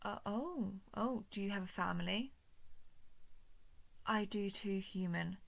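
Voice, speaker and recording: A woman voicing a coldly menacing, falsely gentle alien parasite in an intimate horror audio roleplay, condescending, often slipping toward a breathy whisper. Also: medium pitch. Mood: calm menace. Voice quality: creaky, breathy with frequent whispering. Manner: measured, condescending, menacing coaxing.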